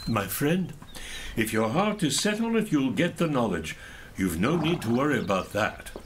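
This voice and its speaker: Man acting a kindly knight mentor, animated pitch. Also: a little hiss or hum, close to an everyday voice. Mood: kindly, welcoming. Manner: measured, instructive, courtly. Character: kindly, courteous male knight mentor, older. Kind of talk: audio drama acting of a medieval legend.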